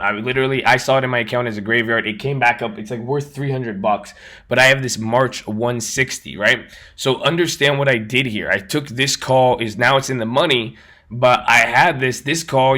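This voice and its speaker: A man with a raspy voice, just over an illness, talking casually as host of a trading channel. He rambles and repeats himself as he walks through his screen. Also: mid-range voice, steady tone, normal speed, pushed and bright. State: recovering from illness. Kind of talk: casual screen-share explanation.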